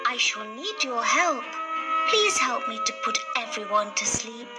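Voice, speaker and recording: Slow, high-voiced kindly fairy played by a woman, music. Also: lively intonation. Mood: solemn, gentle. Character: kindly, solemn female fairy in a storybook. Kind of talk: storybook character acting. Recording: loud music under the voice. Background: loud music.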